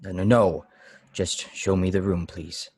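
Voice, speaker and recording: A man playing a strange, pious and weary lodger in a radio play, solemn, deliberate and brusque. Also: deep voice, steady tone, darkish tone, breathy edge, no echo, studio quality. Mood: brooding, reserved. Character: strange, weary, severe pious lodger, brooding and easily angered. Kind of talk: old-time radio play acting.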